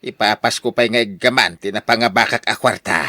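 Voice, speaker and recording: A man with a Filipino Ilocano accent playing an excitable, free-spending husband in a radio drama. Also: on the low side, moderate pace, no echo, studio quality. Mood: overjoyed.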